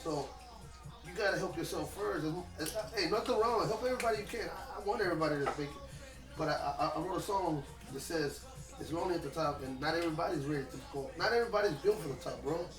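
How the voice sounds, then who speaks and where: First rapper guy talkin fast n loose, echoey room, music going behind him. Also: a little high, recorded from a distance. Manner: fast, loose and emphatic, peppered with slang and swearing. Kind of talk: casual interview chat.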